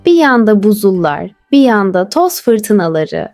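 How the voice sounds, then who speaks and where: A woman's voice: medium pitch, big pitch swings, unhurried, darkish tone, no room sound, faint music behind. A woman voicing a curious, playful young girl on a space trip in a children's story.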